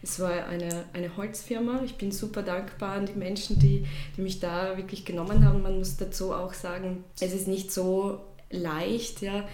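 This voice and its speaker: Woman, thirties, from Russia, native Russian speaker, podcast guest, reflective hesitant delivery, medium pitch, roomy echo. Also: steady tone, normal speed, mellow. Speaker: podcast guest, shop founder. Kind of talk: personal storytelling in an interview. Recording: roomy echo, faint background noise.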